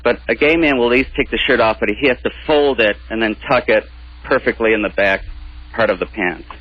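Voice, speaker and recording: A man's voice: medium pitch, fairly quick, no room sound. A man chatting casually as a guest on a radio show. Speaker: interview guest. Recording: dull lo-fi radio recording.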